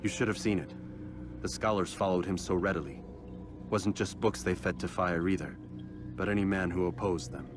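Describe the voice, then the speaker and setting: Man acting a deep-voiced solemn assassin. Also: music in the background, close to an everyday voice. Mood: grave, reflective. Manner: measured, earnest, formal. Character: solemn young male assassin, principled and stern. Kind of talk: dramatic video game acting.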